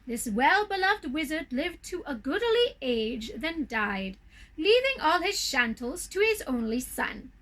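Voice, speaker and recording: Female children's storyteller, theatrical storybook reading: high, bright voice, unhurried and deliberate. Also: very animated, poor audio. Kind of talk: expressive storybook narration for children.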